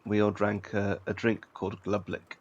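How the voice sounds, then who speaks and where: A man's voice: lowish voice, completely flat delivery, moderate pace, lo-fi sound. A man, an actor, chatting casually and telling anecdotes as an interview guest.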